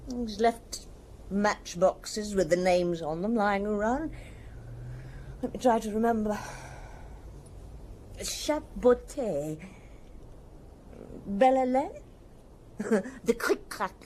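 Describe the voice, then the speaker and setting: An actress playing a bitter, hard-drinking wife in an audio drama, languid, sardonic and evasive. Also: female voice, mid-range voice, slow, pauses often, a little mellow, slight background noise, lightly acted. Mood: sardonic, tipsy. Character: bitter, indifferent hard-drinking wife of missing rich husband.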